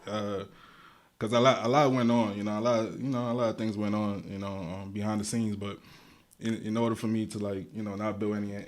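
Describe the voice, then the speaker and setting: A man's voice: lowish voice, level tone, brisk. A young man from Guatemala, talking casually as an interview guest, with loose slangy speech full of restarts and fillers. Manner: laid-back slangy talk, many restarts and fillers. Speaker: guest rapper.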